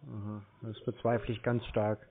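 A man's voice: fairly low pitch, little pitch movement, normal speed. A man on a phone call, talking in a curt, pedantic, unimpressed way. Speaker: scam-baiter taking scam calls. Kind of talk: phone conversation. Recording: tinny narrowband phone line.

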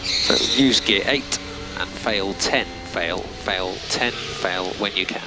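A man's voice: deep voice, takes it slowly, over music. A man working as a race engineer, giving clipped, matter-of-fact instructions and timing figures over team radio. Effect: team radio transmission. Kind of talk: terse race radio instructions and data readouts. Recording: noisy motor racing radio, vehicle noise.

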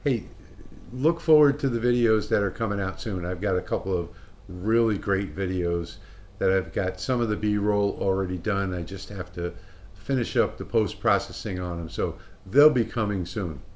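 Low-voiced older American man. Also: moderate pace, a little mellow. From Louisiana, United States. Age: older, about 60. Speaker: hobby channel host. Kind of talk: casual announcement to camera. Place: small indoor wood-panelled workshop.

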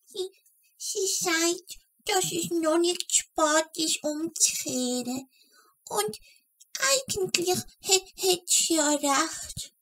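A timid little flea in a children's audio drama, with an extremely high, bright voice. It stammers nervously and hesitates in a Swiss German accent. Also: female voice, animated, extremely slow, exaggerated voice, low-quality recording. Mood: nervous, hesitant.

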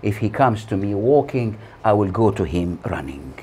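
An older man in his sixties preaches from a prepared text in a solemn manner. His pitch moves widely as he sets out each short phrase deliberately, leaving pauses between them. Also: sing-song, darkish tone, slight background noise. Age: 60s. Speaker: preacher delivering a Friday sermon. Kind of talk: scripted sermon read from notes. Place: small plain room indoors. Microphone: lapel mic.

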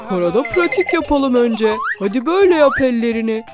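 A woman's voice: a little high, expressive, a little fast, lightly acted. A woman voicing an encouraging, instructive grown-up lion in a children's cartoon dub. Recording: music under the voice.

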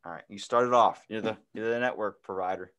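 A man's voice: lowish voice, quick pace, fairly bright tone, clean tone. A young adult man chatting casually on a podcast video call. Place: small indoor room, video call.

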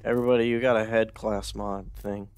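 A man's voice: on the low side, little pitch movement, fairly quick, darkish tone, clean tone. A man joking and swearing freely while gaming with friends. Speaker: gamer in a co-op session. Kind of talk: casual gaming chat.

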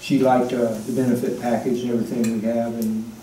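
A man's voice: mid-range voice, level tone, a little fast, mellow, slight background noise. A man chairing a town council meeting, easygoing and chuckling between agenda items. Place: town hall meeting room. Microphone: far room mic. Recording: roomy council chamber from far off.

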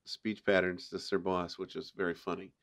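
A man hosting a casual review discussion, talking in a halting way as he searches for words. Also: deep voice, little pitch movement, fairly bright tone. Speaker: podcast host.

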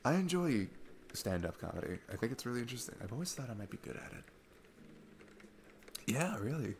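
A young man playing an awkward, chatty single dad on a date in an ASMR roleplay, rambling haltingly and self-deprecatingly. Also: medium pitch, big pitch swings, gravelly, slight background noise. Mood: cheerful. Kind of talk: ASMR boyfriend-style roleplay, casual improvised-sounding chat. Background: background music.

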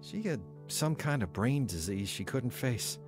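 A man's voice: mid-range voice, expressive, unhurried, over music, lightly acted. An actor playing a troubled, wavering young man telling his life story in a radio drama. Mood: subdued. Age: young adult. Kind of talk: radio drama narration and dialogue.

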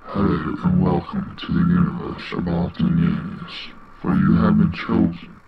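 A man voicing an ominous otherworldly announcer, theatrical, with a deep gravelly voice electronically pitched down and layered. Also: loud music behind the voice. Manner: slow, theatrical welcome. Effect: pitch-shifted down, layered, processed. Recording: very noisy, muffled, processed home recording.

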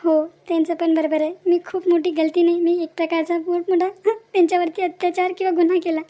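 A woman's voice: extremely high pitch, level tone, fast talker, warm tone. A woman voicing a remorseful young mother and repentant wife in a cartoon drama, earnest and regretful. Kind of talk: Marathi cartoon moral drama dialogue.

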